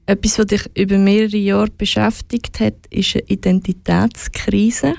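A woman interviewer puts calm questions to her guest. Her mid-pitched voice is mellow and noticeably creaky. The sound is close and dry. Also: measured pace, dry recording.

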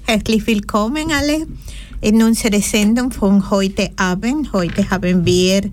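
Slow-speaking woman radio host. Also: mid-range voice, a little mellow. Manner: hesitant, with fillers and restarts. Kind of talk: community radio interview. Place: radio studio. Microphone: studio microphone.

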